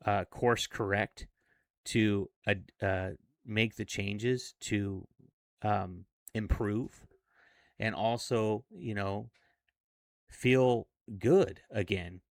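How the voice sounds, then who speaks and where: A man of forty speaking in a casual, reflective vlog monologue, earnest and a little halting. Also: fairly low pitch, creaky, fairly bright tone, no echo, clean studio sound. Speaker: self-improvement vlogger.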